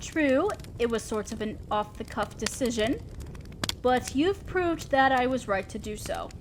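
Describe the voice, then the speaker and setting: A woman's voice: a little high, lively intonation, unhurried. A woman playing a commanding, teasing but protective demon lord in a scripted audio roleplay, speaking to the listener in an imperious, theatrical way. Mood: softer, reassuring. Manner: imperious, theatrical, mock-scolding. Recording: faint ambient noise, crackling fire.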